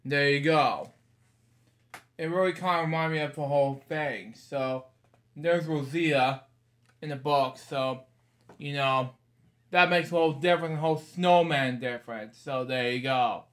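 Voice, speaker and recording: A man's voice: fairly high pitch, a little slow, fairly bright tone. A man in his thirties reading a picture book aloud in a small bedroom, rambling with casual asides and stock phrases.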